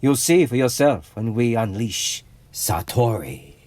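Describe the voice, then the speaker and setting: An actor playing a grandiose, idealistic rebel leader who narrates an audio comic drama in a theatrical, deliberate way. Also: male voice, mid-range voice, animated, darkish tone, no echo, lightly acted. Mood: persuasive.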